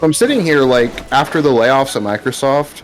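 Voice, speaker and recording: A man talking casually and bluntly as a gamer, opinionated and quick to swear. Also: medium pitch, expressive, faint music behind. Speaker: gamer chatting during a let's play.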